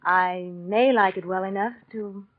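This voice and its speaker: An actress playing a seductive, secretive, self-assured art patron with a coy, measured delivery in a 1940s radio drama. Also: female voice, medium pitch, no room sound, close to an everyday voice. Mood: coy, insinuating. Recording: dull lo-fi 1940s radio recording.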